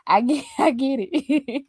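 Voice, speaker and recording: A soft-spoken woman hosting a podcast, chatting casually and laughing often. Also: sits high, takes it slowly, dry recording, clean studio sound. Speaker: podcast host. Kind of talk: casual chat.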